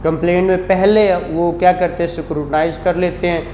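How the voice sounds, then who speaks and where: A man's voice: high voice, little pitch movement, a little fast, a little mellow. A man with a Pakistani accent giving a classroom law lecture in a didactic way, asking rhetorical questions and repeating key phrases. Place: indoor classroom. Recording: echoey classroom with faint noise.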